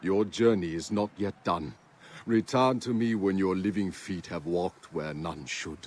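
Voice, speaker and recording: Low-voiced, portentous ancient dream seer. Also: male voice, a little slow, a little mellow. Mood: foreboding. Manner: portentous, formal, poetic phrasing. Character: solemn mystic male dream seer of ancient Egypt. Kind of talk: video game cutscene acting. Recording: game music behind the voice.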